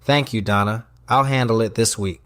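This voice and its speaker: Brisk, dry-witted male restaurant boss played by a man. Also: fairly low pitch, a bit bright, dry recording, close to an everyday voice. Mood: controlled. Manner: commanding, clipped, dry humour. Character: brisk, wry male restaurant boss running a tight ship.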